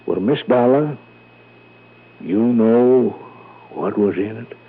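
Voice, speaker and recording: A man's voice: mid-range voice, animated, slow, a little hiss or hum. Tinny old-radio rambling Southern man. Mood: hesitant, earnest. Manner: rambling, hesitant, folksy, digressive. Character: rambling, courteous small-town Southern man reporting danger. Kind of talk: old-time radio drama acting. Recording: tinny, band-limited vintage radio recording.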